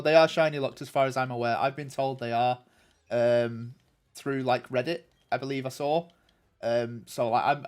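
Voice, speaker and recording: A young man with a nasal voice, chatting casually with viewers on a game stream in a rambling way. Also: mid-range voice. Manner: rambling and chatty, many ums and restarts. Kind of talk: casual livestream chat answering viewers.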